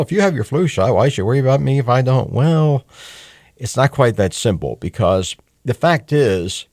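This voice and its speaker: A man's voice: lowish voice, dry recording, clean studio sound. A middle-aged man hosting a talk radio show, speaking bluntly with some mild cursing and plenty of fillers and restarts. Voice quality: blunt, mildly profane, conversational. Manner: lively, hectoring, with frequent fillers and restarts. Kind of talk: call-in talk radio monologue. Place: radio studio. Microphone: studio microphone.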